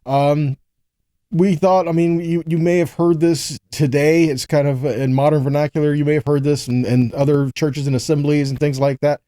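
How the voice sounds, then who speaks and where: Mellow American man preaching, fairly high voice. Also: flat delivery, moderate pace, no room sound. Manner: rhetorical questions and frequent check-ins with listeners. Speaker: preacher teaching a scripture lesson. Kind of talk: conversational sermon with scripture readings.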